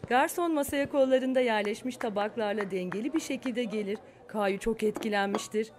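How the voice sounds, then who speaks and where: A woman's voice: a little high, fairly quick, a little mellow, slight background noise, lightly acted. A woman reading scripted narration for a children's cartoon story.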